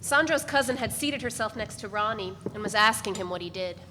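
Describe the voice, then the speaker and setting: A woman reading literary narration aloud at a steady, measured pace. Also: mid-range voice, big-room sound, background noise.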